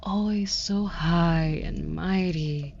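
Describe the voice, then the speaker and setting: A woman's voice: lowish voice, takes it slowly, creaky, a little mellow, lo-fi sound, a little hiss or hum. A woman playing a haughty, predatory demon buyer in an intimate audio roleplay, taunting with condescending, mock-sweet cruelty.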